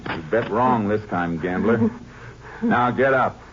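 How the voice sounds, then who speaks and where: An actor playing a tough, unflappable Texas Ranger in a 1950s radio detective drama, with a dry, laconic, quietly menacing manner. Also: male voice, on the low side, slow, heavy background noise. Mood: coolly menacing. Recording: dull lo-fi old radio recording.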